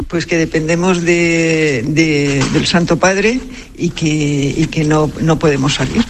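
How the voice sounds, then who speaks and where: A woman's voice: sits low, steady tone, slow. A woman, a cloistered nun, answering interview questions in a firm, plain-spoken manner. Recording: clear background noise.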